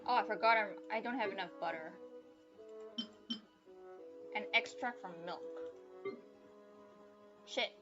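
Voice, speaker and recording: A woman's voice: mid-range voice, unhurried, pauses often, highs cut off. A woman doing casual live gameplay commentary, muttering to herself and swearing offhandedly, with game music behind her. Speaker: game streamer.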